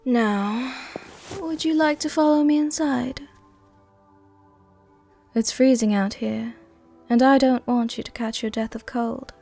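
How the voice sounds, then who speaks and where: Woman acting as vampire countess, roleplay ASMR, fairly high soft voice, very slow delivery, gently dominant, worldly, comforting. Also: faint music behind. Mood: gentle, coaxing. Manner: gentle, unhurried, tenderly commanding.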